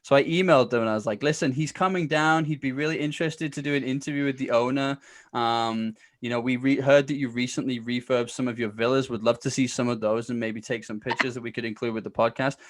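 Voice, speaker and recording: A man with a mid-pitched voice talks as a podcast guest. He is chatty and informal, telling anecdotes and acting out what people said. The sound is dry, with little room echo. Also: fairly even intonation, fast talker. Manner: chatty, informal, acts out quoted exchanges. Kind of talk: casual storytelling.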